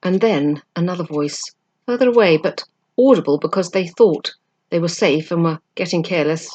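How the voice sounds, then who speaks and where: A woman reading a children's storybook aloud as narration, with an expressive, dramatic delivery. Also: fairly low pitch, moderate pace, muffled recording. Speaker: amateur book reader.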